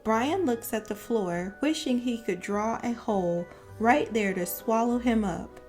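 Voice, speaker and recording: Slow, high-pitched woman reading a children's story. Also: darkish tone, faint music behind. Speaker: read-aloud storyteller. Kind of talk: expressive children's picture book narration.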